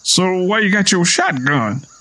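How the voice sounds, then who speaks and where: A man's voice: high-pitched, expressive, a little slow, pushed and bright, pitched above the performer's own voice, heavily acted, low-quality recording. A man voicing a wary rural Southern father in an audio story, folksy and defensive as he talks. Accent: American South.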